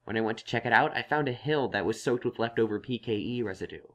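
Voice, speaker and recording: A man's voice: medium pitch, quick pace, natural voice. Young stallion unicorn scholar, played by a man: earnest, explanatory, reassuring, middle register, for a fantasy audio story. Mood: explaining. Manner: thoughtful, explanatory. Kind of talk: acted audio story dialogue.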